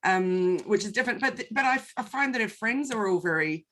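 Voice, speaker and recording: A woman in her forties, a guest in a conversation, sharing personal anecdotes. Her delivery is lively and expressive, and she tells her stories with animation. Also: mid-range voice, fairly quick, crowd noise.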